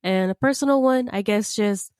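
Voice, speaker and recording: A young woman co-hosting a podcast, speaking plainly and softly with a stuffy, congested voice from a cold. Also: medium pitch, normal speed, clean tone, dry recording, clean studio sound. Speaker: podcast co-host. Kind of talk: casual podcast chat.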